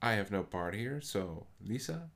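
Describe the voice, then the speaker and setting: A man with a Dutch accent, hosting a poetry podcast in a measured, scripted way. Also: fairly low pitch, lively intonation, fairly bright tone. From Netherlands. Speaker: podcast host. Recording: faint music behind the voice.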